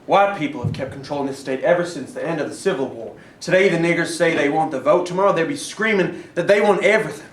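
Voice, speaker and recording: A man's voice: a little high, fast talker, few pauses, close to an everyday voice. A man playing a belligerent, bigoted political adviser in a staged play reading, blunt and insistent. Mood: indignant. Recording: echoey, noisy stage recording from far off.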